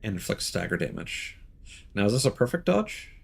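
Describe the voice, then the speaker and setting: Chatty male game streamer, lively pitch. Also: moderate pace, vocal fry, husky. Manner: chatty, thinks aloud, with fillers and small laughs. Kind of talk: casual live gameplay commentary.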